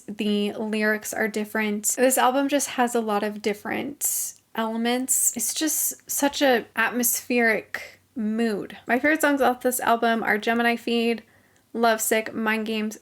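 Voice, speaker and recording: A woman in her twenties hosting a casual vlog, talking enthusiastically about music she loves, with her voice slightly hoarse from talking a long time. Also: a little high. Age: 20s. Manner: gushing, enthusiastic. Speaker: channel host.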